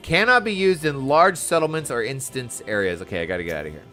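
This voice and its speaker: Animated, bright-voiced man streaming a game. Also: mid-range voice, fast, soft background music. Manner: excitable, enthusiastic, exclamatory. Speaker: video game streamer playing an online role-playing game. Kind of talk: live gameplay commentary, casual chat to viewers.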